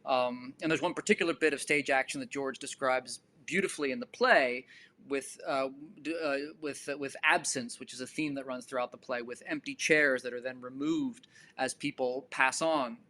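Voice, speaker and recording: A man speaking as a director in a panel discussion, explaining in a measured, articulate way with thoughtful pauses. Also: mid-range voice, fairly even intonation, bright, forceful tone. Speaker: stage and film director.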